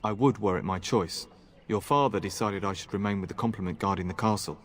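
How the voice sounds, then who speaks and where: An actor voicing an earnest, dutiful young knight in a fantasy video game, formal and courteous. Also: male voice, on the low side, brisk, natural voice. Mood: earnest, respectful.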